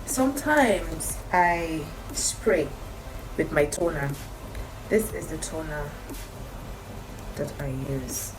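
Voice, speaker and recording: A woman with a croaky morning voice, chatting to camera in a skincare vlog and repeating her advice for emphasis. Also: sits low, slow pace, long pauses, noisy background. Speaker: skincare vlogger. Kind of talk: casual talk to camera.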